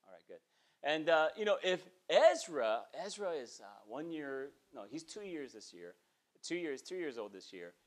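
A man's voice: fairly high pitch, moderate pace. Conversational man in his forties preaching. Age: 40s. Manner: conversational preaching, frequent "okay?" check-ins, animated gestures. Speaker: pastor. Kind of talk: sermon. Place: small church sanctuary, indoors.

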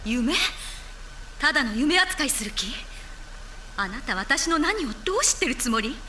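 High-voiced, idealistic young woman. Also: very animated, moderate pace, sharp tone, slight background noise, heavily acted. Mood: cold defiance. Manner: earnest, intense, insistent. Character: idealistic, defiant young woman scientist, elder sister. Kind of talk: dramatic Japanese anime game acting.

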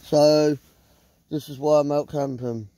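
Slow-talking, sweary southern English man. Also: on the high side, fairly even intonation, mellow, no room sound. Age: middle-aged. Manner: sweary, blunt. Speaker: vlogger. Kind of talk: casual handheld camping vlog, rambling to viewers. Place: inside a small tent in the woods at night. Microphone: handheld phone at close range.

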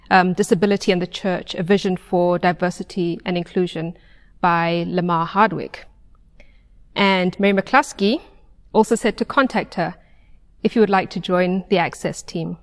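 A middle-aged woman with an American accent delivers a prepared sermon in measured, deliberate phrases with pauses. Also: medium pitch. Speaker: pastor preaching. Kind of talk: sermon, read from notes. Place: pulpit in a stone church sanctuary. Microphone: lapel mic. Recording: long church reverb.

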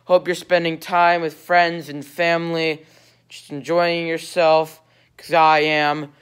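A man's voice: on the high side, level tone, takes it slowly, fairly bright tone, clear, resonant tone. A teenage boy with a slight cold, casually rambling through a vlog outro with many fillers, filmed on a phone at arm's length indoors. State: stuffy from a cold. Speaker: youtuber. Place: indoor living room at night. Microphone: phone held low at arm's length.

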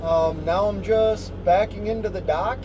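A man, a truck driver vlogging casually in a relaxed, rambling way while feeling tired and unwell. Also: high voice, unhurried, lo-fi sound. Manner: relaxed and rambling, many ums. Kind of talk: casual vlog narration. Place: truck cab and outdoor truck lots. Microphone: phone or dash camera. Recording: noisy outdoor truck yard audio. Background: engine and traffic noise.

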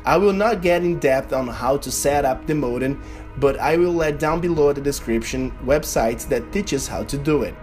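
A young man from Brazil with a Brazilian Portuguese accent, narrating a game tutorial in an earnest, slightly halting way. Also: medium pitch, a little mellow, soft background music. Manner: earnest, slightly halting non-native delivery. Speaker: gaming video creator.

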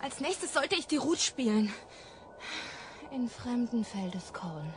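A woman's voice: fairly high pitch, very animated, slow, a little hiss or hum. An actress in a German radio crime drama playing a dreamy, defiant woman taken hostage, with a theatrical delivery. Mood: puzzled, uneasy.

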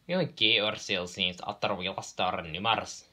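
Guy doing a scottish train character, cheeky, acting all innocent, pitch jumps up, really bright sound. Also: steady tone, normal speed. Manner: solemn feigned innocence. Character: cheeky, mock-innocent Scottish twin tank engines.

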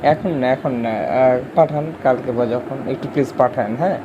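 A man's voice: mid-range voice, moderate pace. A young man, a content creator talking casually on a phone call and to viewers, politely admonishing. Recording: clearly noisy background hum.

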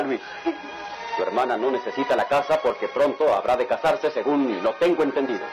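Stern, volatile radionovela father, swooping pitch. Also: low-quality recording. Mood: bitter, wounded. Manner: commanding, interrogating, declamatory. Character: stern, hot-tempered family father. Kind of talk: melodramatic radio drama acting.

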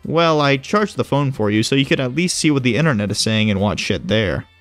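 A man's voice: mid-range voice, animated, fairly quick, a bit bright, dry recording, soft background music, close to an everyday voice. A man playing a smug, casual agent who explains things glibly in audio drama dialogue. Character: breezy, smug male agent who knows hidden things.

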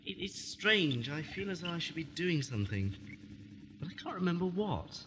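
A man's voice: a little high, sing-song, normal speed. A man playing a troubled, restless traveller in an audio drama, speaking in a downbeat, hesitant way. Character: glum, restless, troubled male travelling companion. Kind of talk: amateur audio drama acting. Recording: very noisy old cassette, often distant.

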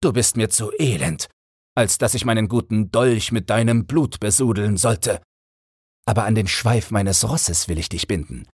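Storybook bandit leader, acted by a male narrator: fearsome, commanding, formal menace, husky, close dry sound. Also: fairly low pitch, moderate pace, no room sound, pitched above the performer's own voice. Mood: wrathful menace. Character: fearsome, stern, wrathful male robber chief.

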